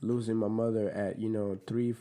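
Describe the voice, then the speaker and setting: A man's voice: fairly low pitch, little pitch movement, moderate pace, dark tone. A man from the Dominican Republic, a podcast guest talking in a laid-back, slangy way with many fillers. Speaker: podcast guest, clothing brand owner. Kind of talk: casual interview chat.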